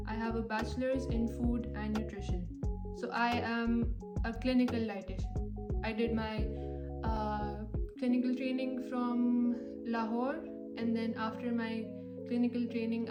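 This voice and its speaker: Chatty young woman, echoey room, music. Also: a little high. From Pakistan. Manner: chatty, many fillers like "like" and "um". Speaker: vlogger. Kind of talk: casual Q&A chat to camera.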